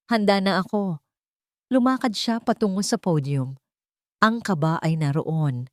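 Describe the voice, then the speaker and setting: A Filipino woman narrates a dramatic story as an audiobook reader. Her voice sits a little low and moves with lively, swinging intonation. The sound is dry and close, with little room around it. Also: no room sound.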